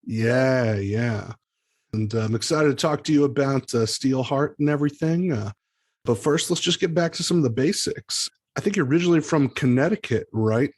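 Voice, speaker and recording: An upbeat man works as a podcast host, moving between show introductions and casual interview talk. His voice sits at a middling pitch, and his delivery is promotional and friendly in wording. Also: expressive, moderate pace, darkish tone.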